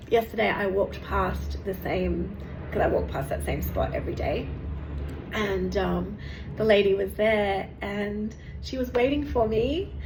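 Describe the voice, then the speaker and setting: A woman, hosting a casual vlog update, rambling and chatty with lots of fillers and restarts, her voice going hoarse. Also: medium pitch. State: losing her voice. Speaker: meditation teacher and channel host. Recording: echoey room with faint background noise.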